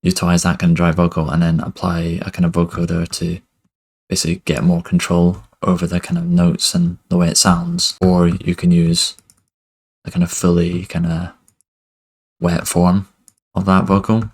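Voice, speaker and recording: A young man giving a relaxed music production tutorial at his desk, talking loosely with lots of fillers. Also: low-pitched, normal speed, slightly rough. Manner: relaxed, many fillers like "kind of" and "basically". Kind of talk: casual screen-recorded tutorial.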